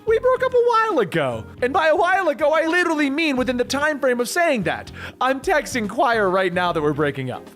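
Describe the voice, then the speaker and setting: A man's voice: very high-pitched, very animated, brisk, fairly bright tone, faint music behind, pitched above the performer's own voice, full character voice. A man voicing a chatty, impulsive, easily flustered young female antihero in a comic book story, stammering and dramatic. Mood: chatty, playful.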